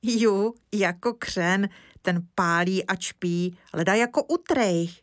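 A woman voicing a lively, cheeky little village boy in an eager, childlike way. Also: medium pitch, dry recording, studio quality, close to an everyday voice.

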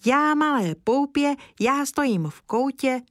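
A small, timid girl played by a woman speaks in a high, whiny little voice. She recites in a singsong way, like a child in a storybook, recorded close and dry. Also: lively intonation, no room sound.

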